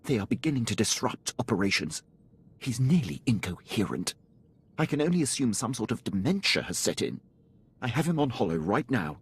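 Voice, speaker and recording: An actor playing a dutiful, formal military officer in a video game, deferential and precise, with clipped phrasing. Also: male voice, fairly low pitch, big pitch swings, brisk, husky, full character voice. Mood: restrained concern. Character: dutiful, formal male imperial military officer, loyal subordinate. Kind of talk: video game character acting.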